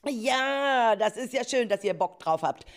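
Children's radio play: enthusiastic female group leader acted by a woman, encouraging, very animated intonation, measured pace. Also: on the high side. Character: enthusiastic adult woman leading a group of schoolchildren. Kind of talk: amateur school radio play acting.

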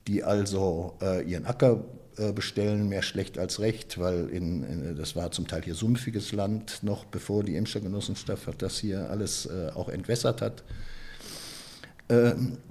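A man from the Ruhr area, giving a reflective interview and often pausing with hesitations and restarts. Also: sits low, darkish tone. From Ruhr area, Germany. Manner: thoughtful, many hesitations and restarts. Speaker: interviewee, photographer and author.

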